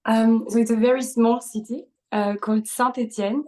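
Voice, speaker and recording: A young woman with a French accent talks in a mellow, dark-toned voice that stays on a flat, even pitch. She answers questions casually, pausing often on fillers and laughing lightly between thoughts. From France. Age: 20s. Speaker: podcast guest. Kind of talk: casual interview conversation.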